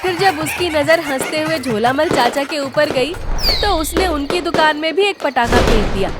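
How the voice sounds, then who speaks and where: A woman's voice: high-pitched, lively intonation, normal speed, heavy background noise. A woman reading scripted storytelling narration for a cartoon tale.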